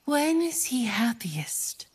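A woman voicing a solemn, tender, mystical nature priestess in a video game, speaking in a ceremonial, poetic, often breathy manner. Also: a little high, big pitch swings, slow pace, heavily acted. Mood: tender, hushed. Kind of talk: video game character acting.

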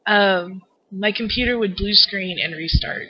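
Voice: medium pitch, unhurried, slightly rough, no room sound, lo-fi sound. An interview host asking questions in a chatty, informal, somewhat hesitant way.

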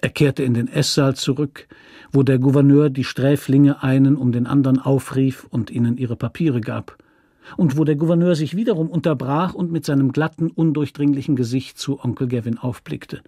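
A man narrating a literary story from a script in a measured, sober manner. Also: medium pitch, steady tone, dark tone, no room sound, studio quality.

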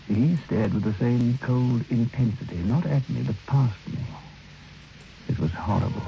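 An actor playing a brooding man who narrates a dark radio drama in grave, literary, measured phrases. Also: on the low side, creaky. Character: brooding male first-person narrator of a dark tale. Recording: dull old radio recording with music.

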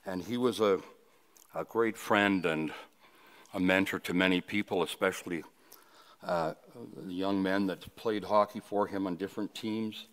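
A man of sixty-nine from Canada delivering a funeral sermon at a lectern, reflective and halting, with personal reminiscence. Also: deep voice. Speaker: funeral officiant, preacher. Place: indoors at a funeral home, at a wooden lectern. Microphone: lectern microphone.

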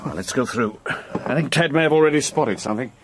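An actor playing a genial, confident gentleman racehorse trainer in a radio drama, speaking with well-mannered authority. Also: medium pitch, lively intonation, fairly quick, background noise, natural voice. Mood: thoughtful, keen.

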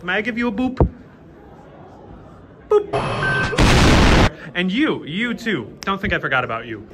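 A man vlogging at a convention, teasing and excitable as he greets people. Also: sits high, a little slow, heavy background noise. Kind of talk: casual convention vlog. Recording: loud music and crowd behind.

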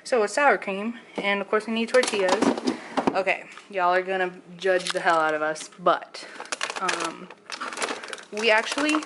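A woman's voice: mid-range voice, heavy background noise. Blunt, joking young woman vlogger. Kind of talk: casual chat. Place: home kitchen and pantry.

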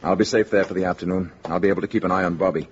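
An actor playing a grave, calm alien visitor in human form in a 1950s radio drama, measured and solemn. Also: male voice, sits low, close to an everyday voice. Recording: dull, noisy vintage 1950s radio recording.

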